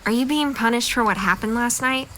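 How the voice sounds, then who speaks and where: A woman's voice: on the high side, fairly quick, fairly bright tone, slight background noise, natural voice. Direct, candid young woman, acted. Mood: questioning, hurt. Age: young adult. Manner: direct, questioning, composed. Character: candid, level-headed young woman being broken up with. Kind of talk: naturalistic dramatic dialogue.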